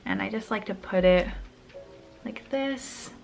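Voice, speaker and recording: A woman's voice: mid-range voice, unhurried, creaky, darkish tone, soft background music. A young woman chatting casually through a makeup tutorial, a little sniffly, rambling and trailing off mid-sentence. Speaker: beauty vlogger.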